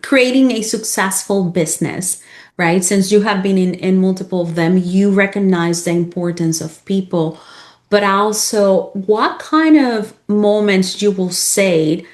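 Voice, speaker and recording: A woman's voice: mid-range voice, measured pace. Woman interviewer, enthusiastic, long questions, recaps answers. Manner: enthusiastic, sums up the guest's answers. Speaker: podcast host, interviewer.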